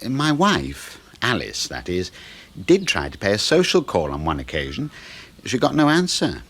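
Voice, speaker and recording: A British actor playing a friendly, chatty suburban neighbour in a 1970s radio play, polite and slightly hesitant. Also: male voice, lowish voice, wildly swooping pitch, normal speed, a bit bright, slightly rough, full character voice.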